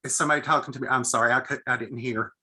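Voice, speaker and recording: A man's voice: medium pitch, steady tone, rapid-fire, pushed and bright. A man chatting casually on a video call, with a self-deprecating, easygoing way of talking. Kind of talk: casual chat.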